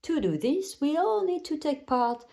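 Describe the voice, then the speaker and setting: A kindly gazelle playgroup teacher from a children's storybook, voiced by a woman. She speaks fairly high with a soft, mellow tone. She gives instructions in an encouraging, teacherly way. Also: very animated, measured pace, gravelly, full character voice. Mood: instructing. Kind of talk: children's storybook read-aloud.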